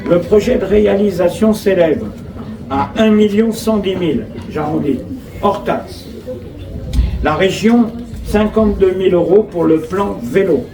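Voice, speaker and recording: A man with a French accent addresses an outdoor gathering as a local official. His voice is high, and his phrasing is formal and measured, read from notes with off-script asides. The sound is echoey and picked up from a distance. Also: a crowd in the background. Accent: French from France. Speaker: local official giving a speech. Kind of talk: inauguration speech, read from notes with ad-libbed asides. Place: outdoor school forecourt, public address. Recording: roomy echo, distant mic, clear background noise.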